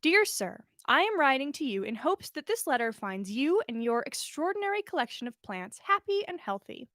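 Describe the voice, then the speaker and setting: Woman plays polite correspondent, formal and measured, higher register, close dry storybook narration. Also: big pitch swings, fairly bright tone, no echo. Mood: courteous. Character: polite, formal letter-writer seeking a plant.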